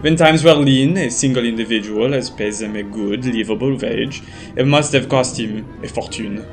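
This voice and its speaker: Man as French arms dealer, medium pitch, coy and hesitant, sprinkles French words, audio drama, faint music. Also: lightly acted. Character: cagey, lovelorn French male arms dealer.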